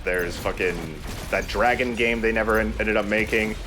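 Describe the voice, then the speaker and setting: A man hosts a let's play in a fairly low voice. He talks casually and rambles along in a laid-back way. Game noise is clearly audible behind him. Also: fairly even intonation, normal speed. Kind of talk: casual gameplay commentary.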